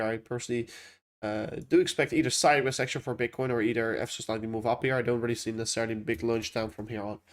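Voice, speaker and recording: Young man, Dutch accent, casual livestream host, rambling, lots of fillers, mid-pitched voice. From Netherlands. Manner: rambling, many fillers and restarts. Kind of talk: casual livestream chat reading viewer questions.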